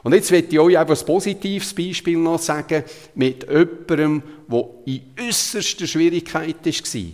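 A man's voice: on the high side, moderate pace, hardly any pauses, a little mellow. A man with a Zurich Swiss German accent preaches a sermon in a conversational, emphatic way, retelling Bible passages. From Zurich, Switzerland. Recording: long hall reverb.

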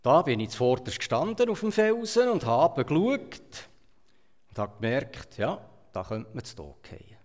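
A man with a Swiss German accent delivers a sermon as a storyteller, rising to loud emphasis and then dropping to quiet asides. His voice sits at an ordinary middle pitch. The sound carries a long reverb, as if from a church hall. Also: big pitch swings, moderate pace. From Switzerland. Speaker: preacher.

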